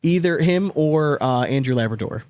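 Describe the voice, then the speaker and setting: A man's voice: fairly high pitch, lively intonation, normal speed, a little mellow. A man co-hosting a podcast with casual banter, talking in a blunt, profane, slangy way. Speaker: podcast co-host.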